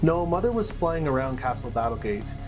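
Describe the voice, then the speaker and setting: A man's voice: medium pitch, very animated, a little fast. A man tells a dramatic fantasy story as a game master, slipping into casual asides. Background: soft plucked-string music.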